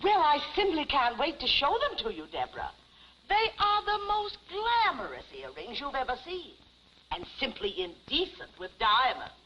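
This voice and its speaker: A woman's voice: high voice, wildly swooping pitch, normal speed. A woman playing a vain, wealthy society lady in a gushing, theatrical 1950s television comedy style. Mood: gushing, smug. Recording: dull vintage 1950s TV soundtrack, faint noise.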